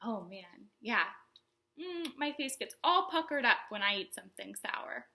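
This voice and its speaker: A woman leads a storytime lesson for little children in a high voice that swings widely up and down. Her tone is bright and forward. She explains things simply, in a sing-song way, and keeps putting questions to her young viewers. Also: big pitch swings, a little slow. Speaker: children's librarian, storytime host.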